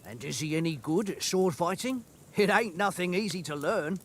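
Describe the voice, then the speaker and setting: High-voiced, cocky young medieval soldier. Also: male voice, animated, normal speed, fairly bright tone, heavily acted. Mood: casual. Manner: cocky, jocular. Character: carefree, boastful, lustful young medieval soldier. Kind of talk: video game cutscene acting.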